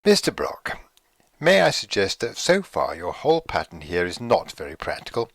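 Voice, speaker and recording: A man acting a measured, probing doctor in a radio play, speaking in a formal, reasoning manner. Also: low-pitched, huge pitch swings, vocal fry, no echo, clean studio sound, heavily acted. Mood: reproving. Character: measured, probing male doctor questioning a patient. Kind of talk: radio play dialogue reading.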